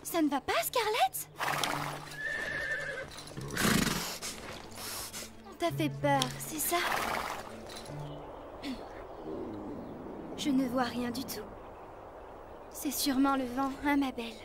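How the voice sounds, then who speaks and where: A woman's voice: sits high, normal speed, very noisy, close to an everyday voice. A woman voicing a spirited young girl from a riding ranch in a children's cartoon. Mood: concerned, tender. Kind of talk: animated children's series dub.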